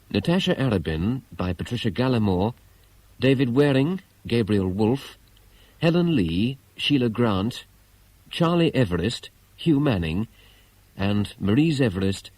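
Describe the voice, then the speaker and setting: A British male radio announcer reads scripted credits formally. His voice is fairly low and very unhurried, with precise phrasing and lively rises and falls. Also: low-quality recording.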